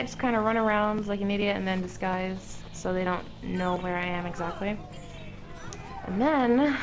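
A woman giving casual gameplay commentary in a sweary, exasperated way, chuckling often, with a slightly sick, sore-throated voice. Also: mid-range voice.

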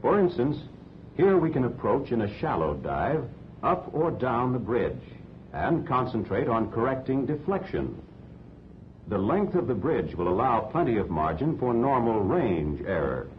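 An American man reading scripted instructional narration for a 1940s training film in a measured, didactic way. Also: very animated. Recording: dull 1940s film soundtrack, rumbling noise.

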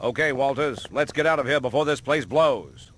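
A skeptical federal agent in a video game, acted by a man. He is blunt and no-nonsense in a medium-pitched voice, with faint noise behind. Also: normal speed, a bit bright, close to an everyday voice. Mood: skeptical, urgent. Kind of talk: video game cutscene acting.

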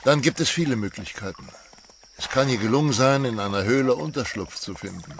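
A man's voice: mid-range voice, expressive, fairly quick, slight background noise, close to an everyday voice. An actor playing a blunt, skeptical male rancher in a radio play, speaking in a matter-of-fact way.

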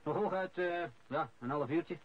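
A man's voice: fairly high pitch, normal speed, natural voice. A man in his forties with a Flemish accent, acting an earnest, dutiful deputy civil servant in a radio drama. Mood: hurried, reassuring. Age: 40s. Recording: dull, noisy old radio recording.

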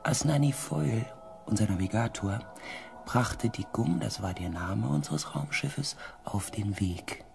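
An actor playing a space expedition coordinator who narrates his mission in a measured radio drama style, gravelly and breathy at phrase ends. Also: on the low side, expressive, a little hiss or hum, full character voice. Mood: sober recounting. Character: male space expedition team coordinator recounting a far-future mission.

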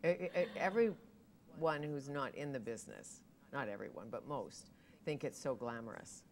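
A woman's voice: rumbling low, animated, normal speed. A middle-aged woman hosting a TV studio interview, chatty and steering the guest with leading questions. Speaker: TV talk show host.

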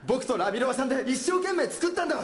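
An actor playing a flashy young rock-star prosecutor in a staged game skit, speaking in a showy, theatrical, playful way. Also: male voice, very high-pitched, big pitch swings, racing. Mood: indignant. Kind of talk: live stage skit acting. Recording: echoey concert hall, music behind.

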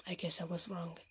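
A woman playing a strong, teasing, protective female knight in an ASMR roleplay, speaking softly and often dropping into a whisper. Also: lowish voice, husky, heavily acted.

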